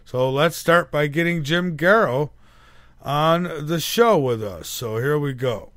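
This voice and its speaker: A man's voice: a little high, slow, fairly bright tone, no echo. A man hosting a radio show, rambling through his questions with frequent fillers. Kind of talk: casual radio interview.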